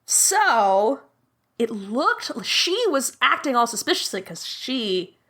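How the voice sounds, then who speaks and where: A woman's voice: a little high, sing-song, slow, pushed and bright. A woman playing a tabletop mystery game as a guest, who thinks aloud with many fillers. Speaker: guest player.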